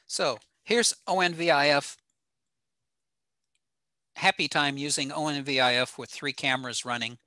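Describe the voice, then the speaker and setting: Older male presenter, informal technical webinar, matter-of-fact delivery, bright tone, dry close sound. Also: fairly high pitch, steady tone, moderate pace, long pauses, no echo. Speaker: presenter at an amateur radio talk. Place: small room at home, on a video call.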